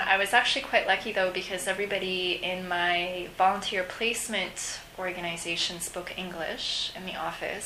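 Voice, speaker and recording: Woman, interview guest, conversational answers, echoey room, clear background noise. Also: on the low side, sharp tone. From Canada. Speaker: interview guest, returned overseas volunteer.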